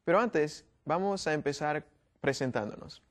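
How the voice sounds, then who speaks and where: A man's voice: a little high, animated, moderate pace. A young man from Guatemala hosts a youth panel discussion, asking questions with frequent fillers and restarts. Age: young adult. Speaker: host.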